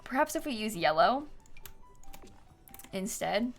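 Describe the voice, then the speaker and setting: Lively young woman streamer, chatty and informal. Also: mid-range voice, slow pace. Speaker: live game streamer. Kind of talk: casual live-stream chat with viewers. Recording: music playing behind. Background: music, typing.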